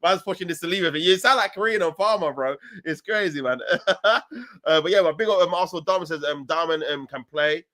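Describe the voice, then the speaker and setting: A London man talks very quickly in a slightly high voice, hosting a casual football livestream. He answers his chat in an animated, slangy style, landing his points with emphasis. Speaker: football fan channel livestream host. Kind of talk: casual live chat, reading and answering viewer comments.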